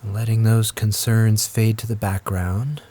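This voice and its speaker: A man leads a guided meditation as the teacher, speaking gently and in a measured way with long pauses. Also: on the low side, flat delivery. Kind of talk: guided meditation, slow scripted instruction.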